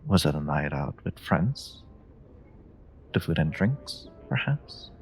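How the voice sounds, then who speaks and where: A man acts out a confident, flirty stranger in a romantic audio roleplay. His low voice has a gravelly creak and swings expressively as he teases and charms the listener in a playful, coy way. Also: sing-song, full character voice, rough recording. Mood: flirty, charming. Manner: teasing, coy, unhurried, murmuring endearments. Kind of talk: romantic audio roleplay, one-sided dialogue addressed to the listener.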